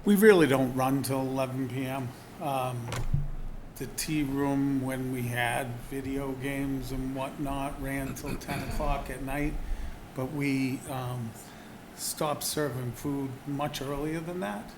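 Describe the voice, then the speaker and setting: A man's voice: medium pitch, steady tone, slow pace, noisy background. A man, a business owner, answering questions at a town board meeting from the podium microphone, earnest and a little defensive in a conversational way. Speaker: business owner applying for a permit.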